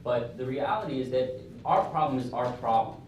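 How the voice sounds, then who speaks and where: A man's voice: mid-range voice, animated, normal speed, muffled recording. Man, panel host, emphatic argument, heavy echo, distant mic. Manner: emphatic, frequent "I mean". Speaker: business school dean, host. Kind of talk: panel discussion answer. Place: event venue with audience. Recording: very echoey, distant mic, room noise.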